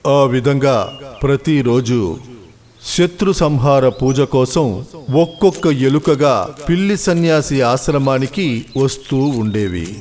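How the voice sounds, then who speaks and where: A man's voice: mid-range voice, animated, slow, a little mellow. A man narrating a scripted children's story as the storyteller. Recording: clear music behind.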